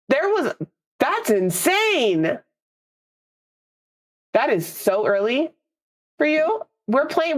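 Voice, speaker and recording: Young woman streamer with a stuffy, slightly nasal voice. Chatty and rambling, laughs easily, casual live chat. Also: medium pitch. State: congested, a little nasal.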